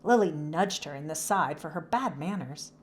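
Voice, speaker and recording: Animated woman reading a children's story. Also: low voice, normal speed. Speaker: children's book read-aloud narrator. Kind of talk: expressive scripted children's story narration.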